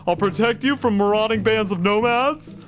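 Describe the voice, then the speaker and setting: A man's voice: high-pitched, moderate pace, close to an everyday voice. A man playing an eager, bumbling, dim but surprisingly learned big cleaner in a comic audio adventure. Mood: excited, pleading. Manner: bumbling, excitable, folksy.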